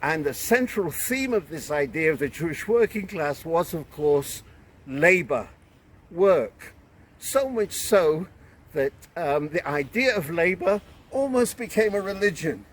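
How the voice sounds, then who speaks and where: A man in his seventies from Manchester with a British accent, giving a measured, storytelling guided talk about kibbutz life. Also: fairly high pitch, animated. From England. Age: 70s. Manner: slow, measured, storytelling delivery. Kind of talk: unscripted guided explanation to camera. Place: outdoors on a kibbutz.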